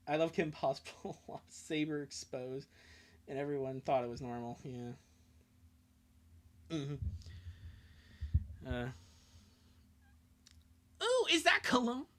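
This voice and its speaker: A man's voice: on the high side, fairly even intonation, unhurried, long pauses, a little mellow. A man doing a casual live comic reading with joking asides, rambling and swearing and breaking off mid-sentence.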